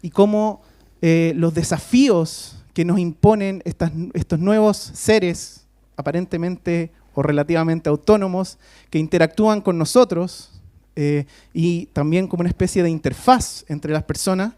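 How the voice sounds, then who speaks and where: A man's voice: sits high, measured pace, dark tone, dry recording, studio quality. Hesitant man introducing a guest. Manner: hesitant, many filler sounds, switches between Spanish and English. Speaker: faculty host introducing a guest speaker. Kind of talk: formal talk introduction. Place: university lecture hall.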